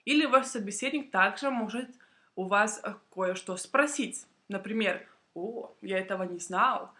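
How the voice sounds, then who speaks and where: A young woman, a native Russian speaker, tells a prepared personal story to camera for language learners in a deliberate, clearly phrased, expressive way. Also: mid-range voice. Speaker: Russian language teacher and video blogger.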